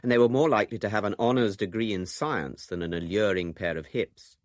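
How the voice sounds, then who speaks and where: A man's voice: fairly low pitch, a little fast, dry recording, clean studio sound. A man presenting a radio documentary, reading a scripted narration.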